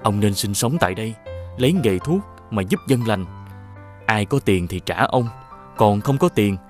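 A man acts out a noble wandering holy healer from a fairy-tale cartoon. His voice is fairly low, and he speaks in solemn, formal phrases with kindly authority. Soft music plays underneath. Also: animated, normal speed, lightly acted. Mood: calm, kindly authority. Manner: solemn, authoritative, formal old-fashioned speech. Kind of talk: animated Vietnamese fairy tale acting.

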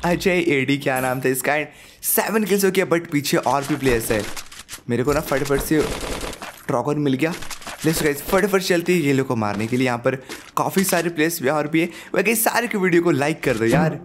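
A young man with a sore throat giving excited live commentary over his own mobile battle royale match, hyping up his kills and laughing often, speaking into a headset at home. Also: a little high, normal speed, slight background noise. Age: young adult. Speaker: gaming YouTuber. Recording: game gunfire behind.

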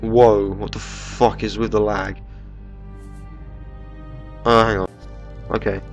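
A young man with a stuffy, bunged-up voice talks his way through a video game in a loose, jokey manner. His voice sits a little low, and game music plays clearly underneath him. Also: little pitch movement, takes it slowly. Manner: chatty, jokey, swears casually. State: bunged up with a cold. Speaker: let's play gamer. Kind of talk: casual gameplay commentary. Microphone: desktop microphone.

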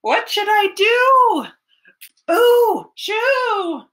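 A woman's voice: very high voice, expressive, very slow, a bit bright, voice pushed up, heavily acted. A woman voicing a flustered small child in a picture-book read-aloud, exclaiming and grudgingly giving in. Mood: alarmed. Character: flustered small child shooing animals off the porch.

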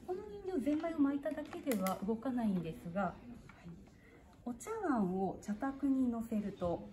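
A woman guiding a visitor through a museum exhibit, her voice set fairly high and moving up and down a lot as she explains. She speaks formally and patiently, pausing to ask the visitor questions. A phone catches her in the hall with steady background noise behind her. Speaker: museum guide. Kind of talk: polite guided demonstration. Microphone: phone camera on a gimbal held by a visitor.

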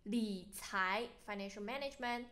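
A woman's voice: mid-range voice, moderate pace, a bit bright. A young woman with a Chinese accent, a native Mandarin speaker, teaches vocabulary, switching between English and carefully enunciated Mandarin words for learners to repeat. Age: young adult. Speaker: language teacher.

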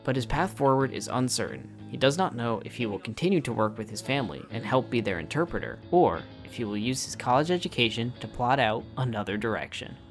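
A man reading scripted documentary narration in a measured storytelling way. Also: medium pitch, lively intonation, over music.

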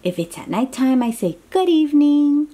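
A woman's voice: high-pitched, sing-song, normal speed, warm tone. A woman reading a picture book aloud to young children in an encouraging, exclaiming way, with chatty asides and questions to the listener.

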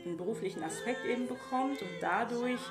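A woman's voice: fairly high pitch, fairly quick. A middle-aged woman from Cameroon answering interview questions reflectively, searching for words and often repeating them. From Yaoundé, Cameroon. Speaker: musician and singer being interviewed. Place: small bright room indoors, seated by a table. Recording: roomy echo, music underneath.

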